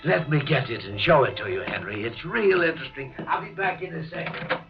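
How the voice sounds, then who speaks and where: An actor playing an aging, self-important mad doctor in a 1950s radio drama, excitable and theatrical. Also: male voice, on the high side, fairly quick. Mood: gleeful zeal. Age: older. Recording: dull vintage radio recording, music behind.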